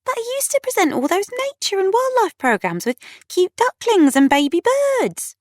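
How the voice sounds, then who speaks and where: A woman's voice: mid-range voice, big pitch swings, normal speed, dry recording, studio quality, pitched above the performer's own voice. A woman voicing a blunt, gossipy, celebrity-obsessed young woman in an audiobook, incredulous and emphatic. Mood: incredulous gossip.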